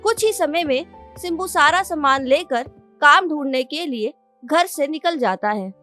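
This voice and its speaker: A woman narrates a children's cartoon story in a high-pitched voice. Music plays behind her as she reads the scripted tale. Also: lively intonation, measured pace, sharp tone. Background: background music.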